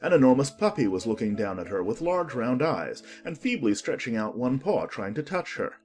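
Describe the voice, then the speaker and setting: A man narrating a children's audiobook in an expressive, scripted storytelling style. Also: mid-range voice, normal speed, soft background music.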